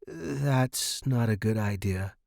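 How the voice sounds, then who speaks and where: Man playing a guarded, soft-spoken space station loner. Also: on the low side, slow pace, vocal fry, dry recording, studio quality, heavily acted. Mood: soft, flat. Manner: soft, flat, wryly deflecting. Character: fragile, guarded male space station operator.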